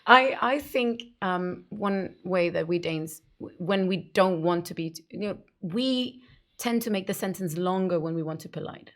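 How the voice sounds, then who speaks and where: A woman's voice: lowish voice, moderate pace. A woman with a Danish accent, co-hosting a podcast in casual chat, explaining thoughtfully with hesitant starts. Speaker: podcast co-host.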